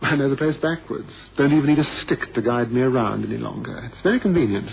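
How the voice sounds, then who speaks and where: An actor playing a blind former pilot, theatrical and bitterly witty, with a wry, wordy, ironic manner, in a radio drama. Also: male voice, medium pitch, lively intonation, fast talker, gravelly. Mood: smug, droll. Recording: noisy, thin phone-like old radio recording.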